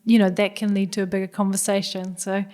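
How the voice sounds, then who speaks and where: A woman in her thirties, born in Hungary, talks with a relaxed New Zealand accent. She answers reflectively, with a flat, even pitch and medium-height voice, often pausing on "yeah" and "I guess.". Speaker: guest scientist. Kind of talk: podcast interview.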